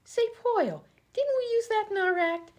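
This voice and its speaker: A woman's voice: very high-pitched, sing-song, normal speed. A woman playing a dim, chatty vaudeville performer who is cheerfully oblivious, in a comedy radio play.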